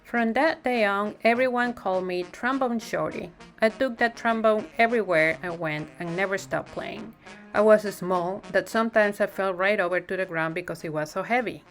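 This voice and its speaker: A woman narrating a children's picture book in an expressive read-aloud style, with deliberate phrasing and emphasized words. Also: mid-range voice. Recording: music playing behind the voice. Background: music.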